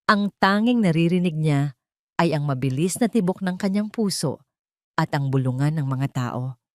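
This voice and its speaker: Filipino woman, lowish pitch, dry sound, emotive story narration, theatrical delivery. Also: lively intonation, moderate pace, no echo. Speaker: storyteller narrating an audio drama. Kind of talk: dramatic scripted story narration.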